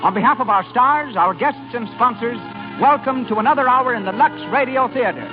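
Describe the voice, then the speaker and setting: Vintage radio over loud music: male announcer, high-pitched, formal, declamatory. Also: fairly quick. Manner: declamatory, polished. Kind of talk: formal 1930s radio announcing and sponsor copy. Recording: muffled 1930s radio broadcast, loud music.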